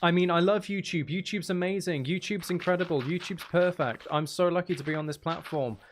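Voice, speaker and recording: Sarcastic man streamer over clear background noise. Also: high voice, a little fast. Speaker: livestream host. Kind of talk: casual livestream chat.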